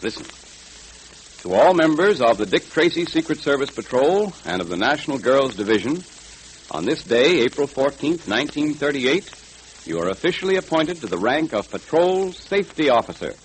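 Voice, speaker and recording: An American man works as a 1930s radio announcer, with a mid-range voice and highly animated intonation. He is emphatic and deliberate as he addresses young listeners, over a faintly hissy vintage recording. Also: low-quality recording.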